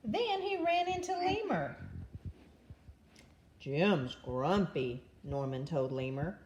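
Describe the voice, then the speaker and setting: An older woman, a grandmother, reading a children's picture book aloud as an expressive narrator. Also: high voice, moderate pace, muffled recording, a little hiss or hum.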